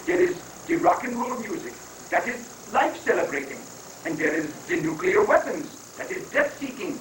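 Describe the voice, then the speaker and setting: A high-voiced, coaxing guru played by a man counsels patiently in stilted, halting phrases. It is a satirical play reading, heard from off the mic in an echoing, noisy room. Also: big pitch swings, fairly bright tone. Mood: soothing explaining. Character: calm, coaxing male guru advising a president. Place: roomy indoor live reading, far from the mic. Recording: roomy, distant, noisy 1980s live recording.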